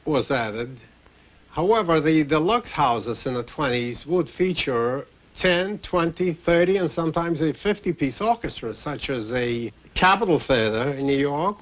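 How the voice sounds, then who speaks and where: An older man reminiscing in a documentary interview, speaking in a measured, formal way. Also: on the high side, muffled recording. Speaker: film composer interviewed as a witness. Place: interview recorded in New York.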